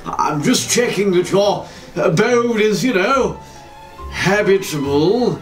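Man putting on a haughty theatrical villain, high, slow, swooping, music behind. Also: animated. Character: pompous, evasive older male villain actor. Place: home hallway, indoors.

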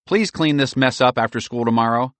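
A man's voice: medium pitch, a little fast, dry recording, voice pushed up, lightly acted. A man voicing a concerned middle-aged father who speaks urgently and turns commanding when he calls out.

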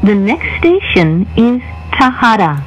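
A woman reading scripted train announcements in a formal, deliberate way. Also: on the high side, big pitch swings, low-quality recording.

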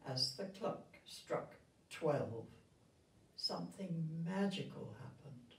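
Slow-reading elderly woman, distant and roomy. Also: sits low, expressive. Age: 70s or older. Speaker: retirement village resident reading a story. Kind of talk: storybook read aloud to children. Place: indoor room with festive backdrop. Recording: roomy sound, distant microphone.

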